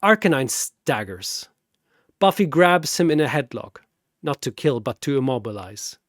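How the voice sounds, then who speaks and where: A man narrating a scripted battle story in a theatrical, deliberate way, like a dramatic commentator. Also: mid-range voice, very animated, no room sound, clean studio sound.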